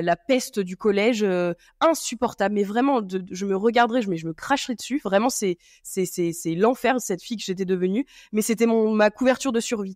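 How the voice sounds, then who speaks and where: A woman's voice: medium pitch, a little mellow, no room sound, clean studio sound. A woman hosting a podcast, chatting casually and rambling with many fillers and restarts. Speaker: podcast host. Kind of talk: casual chat.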